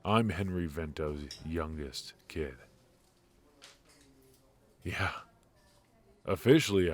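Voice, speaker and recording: A man playing a suave, ruthless mafia boss in a noir audio roleplay, confiding in a measured, intimate, smug way with hesitant pauses. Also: a bass voice. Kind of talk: noir ASMR roleplay monologue.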